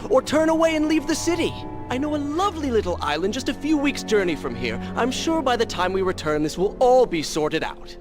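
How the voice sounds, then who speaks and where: An actor voicing a weary, sardonic young prince in a video game, talking in a dry, sarcastic way. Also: male voice, very high voice, big pitch swings, fairly quick, few pauses. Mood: wry. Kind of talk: game cutscene acting. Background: game music.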